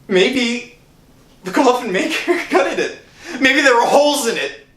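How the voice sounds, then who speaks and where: A man's voice: sits high, exaggerated voice. An actor playing a desperate, guilt-ridden young man in a melodramatic 1960s radio thriller. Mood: bitter, anguished. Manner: melodramatic, anguished, swinging from hushed to shouting. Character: desperate, guilt-ridden poor young man, thief, narrating his ordeal. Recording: roomy old radio recording with music.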